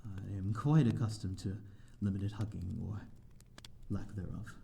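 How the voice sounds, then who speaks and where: A man's voice: deep voice, steady tone, measured pace, creaky, background noise. A man playing a lonely, courteous vampire in an audio roleplay, speaking in formal, old-fashioned, hesitant phrases to the listener. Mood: flustered, polite. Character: lonely, courteous male vampire living in an abandoned manor.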